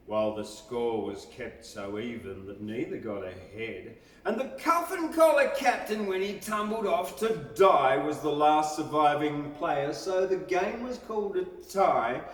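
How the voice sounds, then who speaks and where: Distant echoing recording, man, poetry recitation, unhurried, emphatic storyteller delivery. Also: on the high side. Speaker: poetry reader. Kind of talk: live dramatic recitation of bush ballad. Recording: echoey hall, distant mic.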